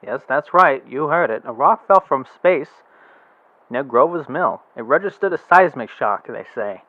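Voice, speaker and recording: A man's voice: mid-range voice, lively intonation, measured pace, dry recording. A man playing a chatty small-town radio DJ. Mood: excited. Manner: casual, folksy, upbeat chatter. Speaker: radio announcer. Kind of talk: amateur radio drama acting. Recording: tinny phone-like radio sound, very dry.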